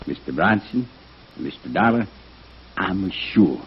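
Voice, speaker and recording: A man playing a careful, proud foreign violin expert, speaking deliberately and haltingly in a 1950s radio drama. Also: low-pitched, lively intonation, a little hiss or hum. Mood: hesitant, polite. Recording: muffled vintage radio recording.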